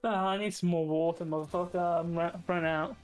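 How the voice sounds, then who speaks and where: High-voiced young man, laughing banter. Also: steady tone, fairly quick, faint music behind. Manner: laughing, crude and playful. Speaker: podcast co-host. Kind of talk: casual banter. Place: home desk.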